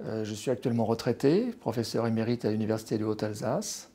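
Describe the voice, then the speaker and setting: A man's voice: lowish voice, steady tone, fast talker, warm tone, breathy edge. A French man in his late sixties, a retired history professor, talks hesitantly in an interview, with many fillers and restarts. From France. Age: 60s. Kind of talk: interview, personal account.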